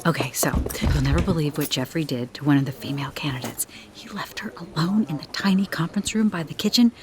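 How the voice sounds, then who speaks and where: A woman's voice: sits low, fast, crowd noise, natural voice. An actress playing a chatty, dramatic female office worker with effusive, slangy gossip. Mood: bubbly, gossipy. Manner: effusive, speaks in hashtags. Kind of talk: audio drama dialogue.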